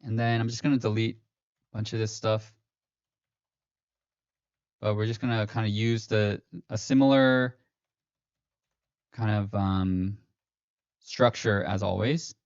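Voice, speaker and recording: Guy rambling through a finance stream, lowish voice, muffled lo-fi mic, kinda robotic. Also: fairly even intonation, takes it slowly, long pauses, clean tone, no room sound. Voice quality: slightly robotic-sounding stream voice. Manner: rambling, self-correcting, many fillers. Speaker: finance livestream host. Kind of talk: casual livestream walkthrough. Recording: dull lo-fi stream audio, dry.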